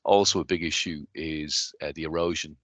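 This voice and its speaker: A man with a Dublin Irish accent, in his thirties, answering interview questions in a measured, businesslike way with frequent fillers and restarts. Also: rumbling low, fairly even intonation, a bit bright, no echo. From Ireland. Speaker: guest, company chief executive.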